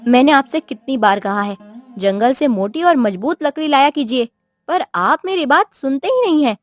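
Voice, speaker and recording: A woman's voice: high voice, lively intonation, normal speed, dry recording, soft background music. A woman voicing a poor, wistful village wife who nags and pleads in a cartoon story. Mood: nagging. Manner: plaintive, pleading. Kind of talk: Hindi cartoon moral story voice acting.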